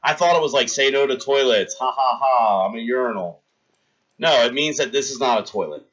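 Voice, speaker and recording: A man in his thirties streaming live, telling a long gross-out story with animated delivery and acting out the scenes for his chat. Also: mid-range voice, brisk, muffled recording.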